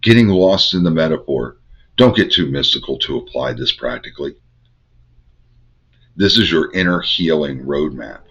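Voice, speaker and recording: An older man with a head cold, hosting a Bible study and teaching in measured, incantatory short phrases. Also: very deep voice, lively intonation, a bit bright, slightly rough. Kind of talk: spiritual teaching monologue. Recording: dull lo-fi recording.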